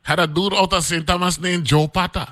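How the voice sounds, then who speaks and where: A man's voice: high-pitched, a little fast, no echo. A man, hosting a casual radio chat and tripping over repeated words as he reminisces. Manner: loose, repeats words, stammers when excited.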